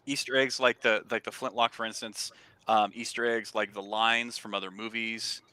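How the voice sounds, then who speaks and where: An easygoing man co-hosting a casual voice chat, speaking softly. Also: lowish voice, little pitch movement, normal speed, clean tone, no room sound.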